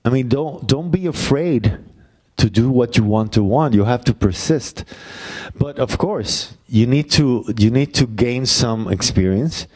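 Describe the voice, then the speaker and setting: A middle-aged man with a Puerto Rican accent, a playwright answering audience questions on a university stage into a handheld mic, halting, with many fillers. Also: medium pitch, expressive, darkish tone. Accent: Puerto Rican Spanish. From Puerto Rico. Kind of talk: Q&A talk after a play.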